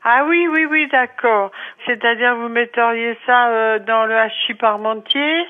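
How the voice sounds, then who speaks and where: A woman's voice: fairly high pitch, measured pace. A French woman speaking spontaneously on the telephone, polite and plain-spoken, with hesitations. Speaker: school canteen manager. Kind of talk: spontaneous phone conversation. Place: on a telephone call. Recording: tinny narrow-band telephone line.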